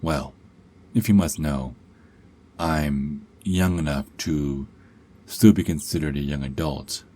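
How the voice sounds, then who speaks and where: A man's voice: very deep voice, fairly even intonation, slow, warm tone, heavily acted. A man playing a cocky, sarcastic young adult werewolf held captive, in an ASMR roleplay with a taunting, sardonic drawl. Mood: sardonic, coy.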